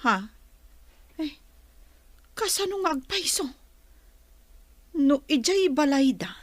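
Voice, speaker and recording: A woman's voice: high-pitched, animated, takes it slowly, no room sound. A woman with an Ilocano Filipino accent playing a scheming pregnant wife who left her husband, in a radio drama. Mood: worried.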